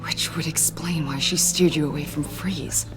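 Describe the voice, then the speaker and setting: A low-pitched woman's voice , an actress in a game role, plays an earnest government agent with inner doubts. She speaks candidly and with a slightly formal, measured delivery, over background music. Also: steady tone, whispered, natural voice. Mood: thoughtful, guarded. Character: earnest, conflicted female government agent, adult, professional. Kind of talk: naturalistic video game dialogue.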